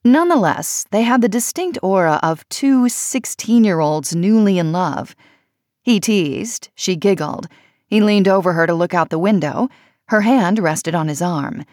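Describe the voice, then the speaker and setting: A woman reading a personal essay as audiobook narration, in measured, expressive phrasing. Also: medium pitch, no echo, studio quality.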